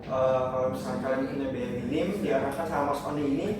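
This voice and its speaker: A man's voice: mid-range voice, fairly even intonation, a loud crowd. A man hosting a casual interview chat, chatty and speaking to his viewers. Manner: quick, chatty, addresses viewers. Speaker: host. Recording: echoey room, distant mic.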